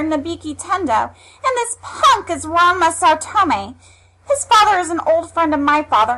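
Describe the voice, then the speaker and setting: Woman playing a very high, bright anime teen girl. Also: a little hiss or hum, exaggerated voice, low-quality recording. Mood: exasperated, apologetic. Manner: exasperated, polite to elders. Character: kind, indignant teenage girl defending a neighbour. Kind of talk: amateur anime audio drama acting.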